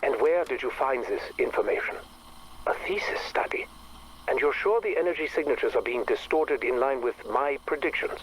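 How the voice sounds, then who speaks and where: Casting: male actor as obsessive, bitter scientist for game audio logs; formal, theatrical diction, breathy and brooding, slightly noisy recording. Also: medium pitch, lively intonation, normal speed, close to an everyday voice. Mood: terse, guarded. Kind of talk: dramatic monologue for a game audio log.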